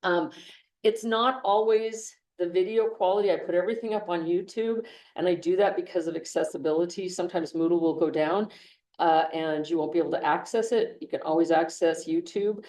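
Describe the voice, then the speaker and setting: A woman teacher talks to her class in a loose, conversational way, circling back on her sentences. Her voice sits low and mellow. She is picked up from across an echoey classroom. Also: steady tone, a little fast, recorded from a distance. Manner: conversational, with frequent restarts and "okay". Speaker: engineering instructor. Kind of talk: classroom lecture.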